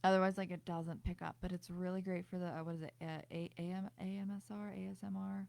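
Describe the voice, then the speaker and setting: Woman, podcast guest, quiet high voice, low raspy edge, lots of "like", dry sound. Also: flat delivery, fast, dry recording. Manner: quiet voice, frequent "like". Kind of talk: casual podcast chat.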